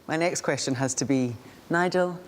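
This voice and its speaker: A woman with a slightly low voice asks questions on location in a museum. She sounds bright and curious, a television presenter chatting with a guide. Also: normal speed. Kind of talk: on-location TV chat. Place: indoor museum.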